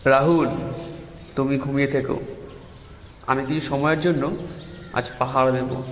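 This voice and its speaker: A man playing earnest, deliberate village farming folk in a cartoon story, recorded with long reverb. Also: medium pitch, steady tone, a bit bright, natural voice. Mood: resolute. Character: hardworking village farmer and his eager son. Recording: long reverb, faint background noise.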